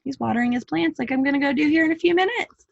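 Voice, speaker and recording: Female storyteller for preschoolers, higher-pitched, chats directly to little listeners; dull home recording. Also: fast talker, gravelly. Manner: addresses young listeners with questions and asides. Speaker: preschool teacher reading aloud. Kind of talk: read-aloud storytelling for young children with chatty asides.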